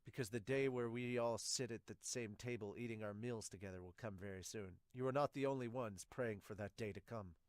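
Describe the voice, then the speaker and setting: A man voicing solemn, measured military officers in an amateur manga dub. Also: fairly low pitch, no room sound, clean studio sound, close to an everyday voice. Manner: formal, measured.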